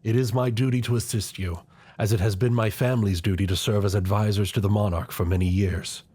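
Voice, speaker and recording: A man acting a grave, husky royal chancellor. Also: fairly low pitch, steady tone, warm tone. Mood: deferential, solemn. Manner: formal, deferential, measured courtly speech. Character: loyal, scheming older male royal chancellor, formal and grave. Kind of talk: scripted fantasy audio roleplay acting.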